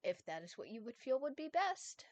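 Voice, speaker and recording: A woman reading the part of a calm, all-knowing male time ghost in a fan story, in a measured explaining way. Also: fairly high pitch, no room sound, pitched above the performer's own voice. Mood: advising.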